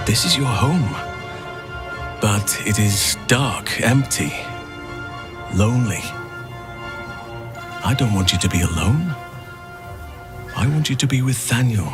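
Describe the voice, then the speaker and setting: A grave, gentle male druid from a video game. His voice is fairly low and very slow, patient and soothing like a father's. Music plays behind him. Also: lively intonation. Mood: tender, consoling. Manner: earnest, measured, soothing. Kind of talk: video game character acting.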